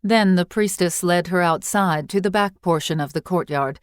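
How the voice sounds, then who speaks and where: A woman narrating a scripted story as an audiobook reader, measured and expressive. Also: on the low side, clear, resonant tone, no echo, clean studio sound.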